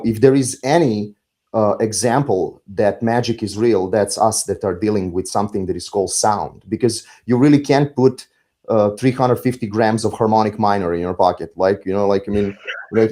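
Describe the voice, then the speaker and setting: A middle-aged man with a Serbian accent, talking reflectively as an interview guest, halting and philosophical as he searches for words. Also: fairly low pitch, dark tone. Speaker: podcast guest, musician.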